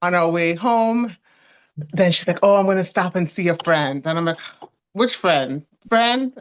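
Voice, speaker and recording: A woman's voice: on the low side, unhurried, muffled recording. Giggly woman in her forties. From Canada. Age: 40s. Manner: giggly, animated, restarts her words. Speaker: guest. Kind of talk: casual storytelling chat.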